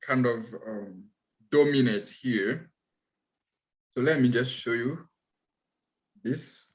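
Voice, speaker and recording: A young man from Congo hosts an easygoing conversation. His voice sits at a middle pitch, and he laughs a lot and often restarts mid-sentence as he looks for words. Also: takes it slowly. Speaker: host. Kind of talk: casual chat.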